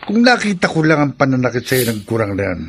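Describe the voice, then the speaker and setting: A vengeful young boyfriend in a radio drama, brooding and threatening. His mid-pitched voice has a gravelly creak, with faint noise behind it. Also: sing-song, fast talker. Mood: resentful.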